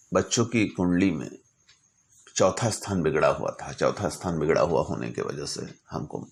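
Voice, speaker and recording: A man narrating stories informally as an astrologer, speaking deliberately in short phrases broken by pauses. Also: low voice, gravelly. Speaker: astrologer telling client stories.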